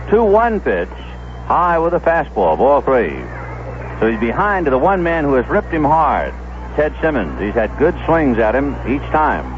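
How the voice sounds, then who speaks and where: A man doing 1970s radio baseball play-by-play, measured and descriptive, telling small stories between pitches. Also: noisy background. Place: stadium broadcast booth. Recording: dull lo-fi vintage AM radio broadcast.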